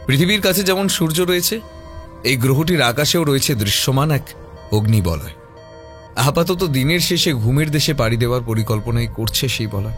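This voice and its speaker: Expressive male story narrator, lively pitch. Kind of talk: scripted narration of a horror mystery audio story.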